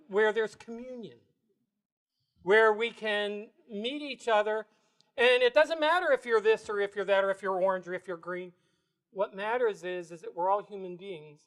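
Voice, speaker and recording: A man with a big voice presenting a spiritual evening to a live audience, playful and quick to laugh. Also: sits high. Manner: playful, laughs often, builds energy. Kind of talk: lively talk to a live audience. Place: bookstore event room.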